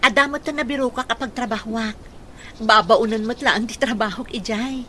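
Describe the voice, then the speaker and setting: A woman's voice: on the high side, moderate pace, pushed and bright, slight background noise. A woman playing a humble, struggling single mother in a radio drama, polite and earnest. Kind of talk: Philippine radio drama acting.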